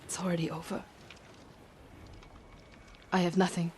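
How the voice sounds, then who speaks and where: A woman playing a wary, defiant archer of feudal Japan in a video game, speaking in guarded, deliberate short phrases. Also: fairly low pitch, little pitch movement, background noise, close to an everyday voice. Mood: bitter, defiant. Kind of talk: dramatic video game acting.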